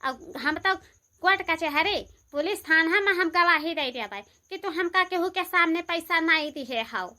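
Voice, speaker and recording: A woman's voice: very high voice, normal speed, bright, forceful tone. A woman voicing a defiant village woman in a cartoon, challenging and insistent, with an Awadhi accent. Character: defiant village woman confronting her lover. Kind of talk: amateur cartoon voice acting.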